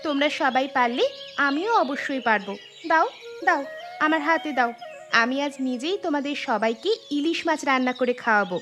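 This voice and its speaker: A woman voices a stubborn, eager young wife in a cartoon family story. The voice is high and girlish, pushing each phrase with exaggerated insistence. Faint music sits behind it. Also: moderate pace, fairly bright tone. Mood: insistent. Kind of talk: Bengali cartoon storytelling voice acting.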